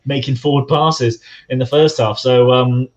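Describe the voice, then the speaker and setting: Brief: hoarse male guest, rambling casual chat, average pitch, muffled lo-fi call audio. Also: steady tone, measured pace, a little mellow. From England. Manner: rambling, many fillers and restarts. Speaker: guest fan.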